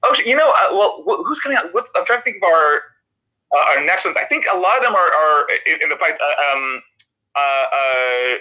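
A man in his thirties with a nasal, very bright voice sitting slightly high. He talks casually as a podcast guest, stumbling through fillers and restarting sentences. The sound has a radio-like quality. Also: animated, highs cut off. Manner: halting, many restarts and fillers. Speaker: TV comedy writer, guest. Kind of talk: casual interview chat.

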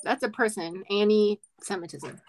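A woman's voice: mid-range voice, normal speed, a little mellow, poor audio. A woman co-hosting a podcast in casual chat, playful and sarcastic, laughing often. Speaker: podcast co-host.

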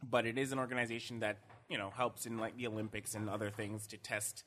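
Man, bright forceful voice, casual podcast chat, rambling, laughs a lot, esports news segment. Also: lowish voice, flat delivery, fast talker. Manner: rambling, loose and laughing often.

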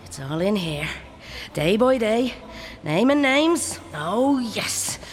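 Slow, gloating woman. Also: medium pitch, sing-song, background noise. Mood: gloating, vengeful. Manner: muttering, gloating. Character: vengeful, gloating whistleblower muttering to herself. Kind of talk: audio drama acting.

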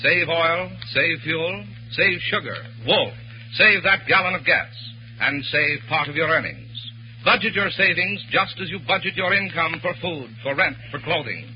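High-pitched 1940s male radio announcer. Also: lively intonation, normal speed, slight background noise. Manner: formal, declamatory. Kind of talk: 1940s radio announcing, scripted patriotic appeal. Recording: muffled 1940s radio recording with music.

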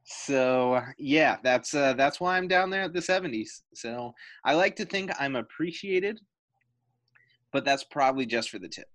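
A man hosting a casual sports podcast, self-mocking and awkward, talking fast in bursts. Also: mid-range voice, animated, a bit bright, dry recording. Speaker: podcast host. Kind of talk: casual chat.

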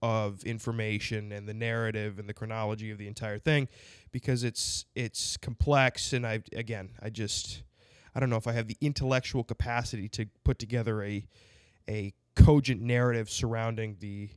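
A man's voice: on the low side, level tone, normal speed, clear, resonant tone, dry recording, clean studio sound. A man hosting a casual podcast interview while fighting a cold and a sinus infection. He rambles and jokes sarcastically, full of fillers and restarts. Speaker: podcast host.

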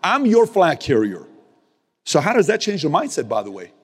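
A man's voice: on the high side, huge pitch swings, moderate pace, husky, in a large hall. A man giving a motivational speech to his team, emphatic and repetitive, with casual slang and mild cursing. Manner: emphatic, repeats key phrases, swings from loud to hushed. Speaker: business leader speaking to his team.

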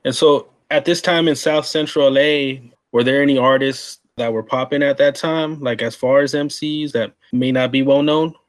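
A man's voice: medium pitch, fairly even intonation, normal speed, hardly any pauses. A man from Texas with an American accent, interviewing someone in a casual, conversational style. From San Antonio, Texas, USA.

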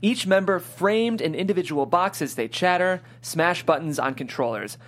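A man with a somewhat high-set voice hosts a table read. He moves between presenting the show and narrating stage directions from a script. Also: animated, moderate pace.

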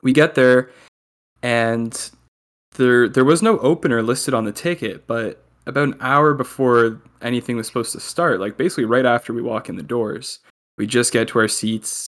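A man's voice: medium pitch, normal speed, a bit bright. A young man, a guest on a podcast, joking and telling stories in casual chat while recording remotely over a call. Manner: chatty storyteller, joking.

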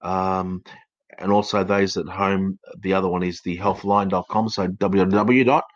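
Deep-voiced aussie guy with a bit of english in his accent, rambly chatty podcast from home, kinda muffled dry sound. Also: little pitch movement, moderate pace. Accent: Australian with traces of English. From United Kingdom, raised in Australia. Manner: rambling, chatty, many fillers and restarts. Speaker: counsellor and podcast host. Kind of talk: casual live-stream podcast talk.

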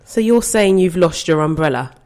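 Mellow, patient, concerned granddaughter. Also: female voice, lowish voice, expressive, normal speed. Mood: puzzled, helpful. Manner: gentle, reassuring, questioning. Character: concerned granddaughter, patient and coaxing with confused gran. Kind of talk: naturalistic audio play acting.

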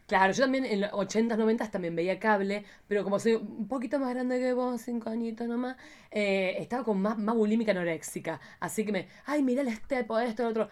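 Fast-talking Argentine woman, campy and playful. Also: fairly high pitch. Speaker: podcast host. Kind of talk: casual chat.

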